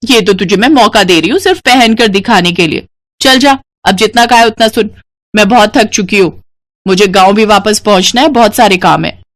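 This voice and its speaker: A woman's voice: mid-range voice, animated, moderate pace, natural voice. A woman voicing a haughty, bossy young rich woman in a moral story, imperious and belittling. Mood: scornful, angry.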